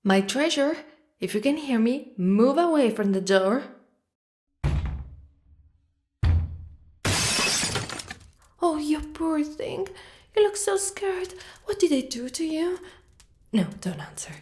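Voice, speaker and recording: A woman's voice: sits high, expressive, measured pace, noisy background. A woman playing a devoted, fierce dragon knight in a dramatic ASMR roleplay, speaking in formal, archaic phrases with theatrical weight. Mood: tender, concerned.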